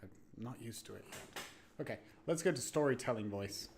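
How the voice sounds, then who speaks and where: A man's voice: mid-range voice, animated, a little fast, gravelly, darkish tone, a little hiss or hum. A man hosting a livestream, chatting casually with viewers in a rambling, stop-start way over background music. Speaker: live streamer and host. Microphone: new close microphone, no webcam.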